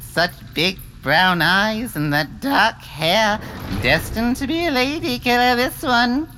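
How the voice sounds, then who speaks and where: A woman voicing an eccentric, fawning sorceress in an audiobook, flattering and babbling, with a sly, scornful edge. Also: on the high side, slow, a little hiss or hum, rough recording. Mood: gushing. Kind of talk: audiobook character acting.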